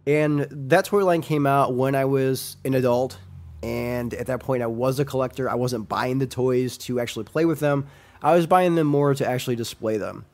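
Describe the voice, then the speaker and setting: A young man with a slightly nasal, congested voice talks through his picks in a loose, rambling solo podcast. He pauses on ums and restarts his sentences as he goes, speaking at an ordinary middle pitch. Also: darkish tone. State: congested from a sinus infection. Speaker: podcast host. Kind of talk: casual solo commentary.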